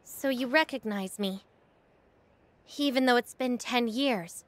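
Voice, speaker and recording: A woman playing an earnest, stubborn young princess in a video game cutscene, with a gentle, measured way of talking. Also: fairly high pitch, no room sound. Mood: wistful.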